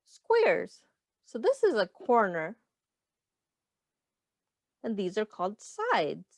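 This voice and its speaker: Slow, sing-song woman teaching young children. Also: medium pitch, huge pitch swings, vocal fry, dry recording. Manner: simple instructive phrasing aimed at young children. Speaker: teacher reading a picture book aloud. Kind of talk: children's read-aloud lesson, asking questions and counting.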